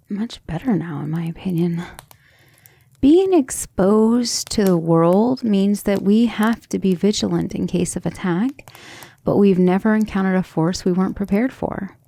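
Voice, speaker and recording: A gentle, earnest vampire lover, played by a woman in an intimate ASMR roleplay. Her voice is mellow and breathy with some creak, and she speaks tenderly and hesitantly, as if confiding in someone dear. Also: medium pitch, no echo. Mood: earnest explaining. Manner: soft, hesitant, tender, often breathy near-whisper. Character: gentle, earnest, self-doubting female vampire, devoted lover.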